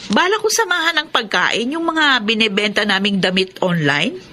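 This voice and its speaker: A woman's voice: medium pitch, expressive, moderate pace, sharp tone, slight background noise. An actress playing a devoted, capable wife and mother in a Filipino radio drama. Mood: affectionate.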